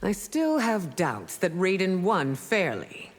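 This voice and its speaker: A regal, proud warrior ruler from a fighting game, speaks in formal, measured phrases. The pitch moves widely, and a long reverb trails the voice. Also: female voice, wildly swooping pitch, exaggerated voice. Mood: grave. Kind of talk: video game character banter.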